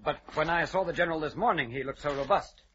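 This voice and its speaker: An actor playing a proper, anxious gentleman solicitor in an old radio drama, formal, earnest and a little hesitant. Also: a little high, fast. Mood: concerned, probing. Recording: dull, lightly noisy vintage radio recording.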